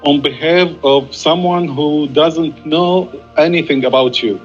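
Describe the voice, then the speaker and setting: A man hosting an interview who asks questions in a halting way and often repeats words as he restarts. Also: a little high, highs cut off, soft background music.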